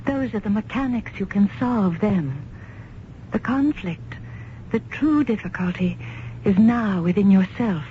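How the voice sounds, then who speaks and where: A woman's voice: medium pitch, expressive, natural voice. A mysterious woman from another world played by an actress in an old radio play, on a muffled recording with faint noise. She is solemn and formal, choosing each word with care. Her insistence is coaxing and hypnotic, drawing the listener toward her. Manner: deliberate, formal, hypnotically persuasive. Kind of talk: old-time radio drama acting.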